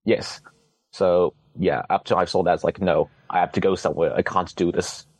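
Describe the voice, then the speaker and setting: A young man from Hong Kong, a native Cantonese speaker, answers questions in a low, deep voice. He talks hesitantly, restarting sentences and adding dry jokes. The sound is close and dry. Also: steady tone, normal speed, darkish tone, slightly rough, no echo. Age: young adult. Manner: hesitant, many restarts and fillers, wry humor. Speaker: interviewee, student. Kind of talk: casual interview answers.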